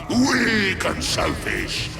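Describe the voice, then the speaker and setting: An actor voicing a monstrous, scornful villain with a gravelly, menacing, grandiose shout in a video game. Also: male voice, high-pitched, full character voice. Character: monstrous villain Typhon, booming, scornful tyrant. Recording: long echoing reverb under loud music.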